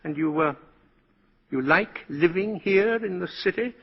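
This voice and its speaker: An actor playing a kindly, whimsical, principled old man in a 1940s radio drama, speaking with deliberate old-fashioned politeness. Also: high-pitched, in a large hall, full character voice. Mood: kindly, genial. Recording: muffled, husky-sounding 1940s radio broadcast.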